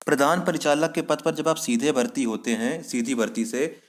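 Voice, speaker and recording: A man's voice: mid-range voice, moderate pace. Man, mid-range voice, tutorial presenter, didactic, explanatory, repeats points, question-and-answer delivery. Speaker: presenter of an exam-guidance lesson. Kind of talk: explanatory tutorial addressed to viewers.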